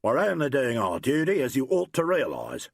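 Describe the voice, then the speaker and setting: A man's voice: mid-range voice, expressive, moderate pace, dry recording, studio quality. A man voicing a dutiful, deferential male police officer in a novel, formal and stolid. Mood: officially stern.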